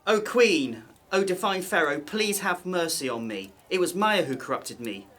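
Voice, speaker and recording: A man's voice: high voice, animated, moderate pace, fairly bright tone. A man playing a cowardly condemned royal official in an audio drama, pleading for mercy and shifting blame. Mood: begging, fearful.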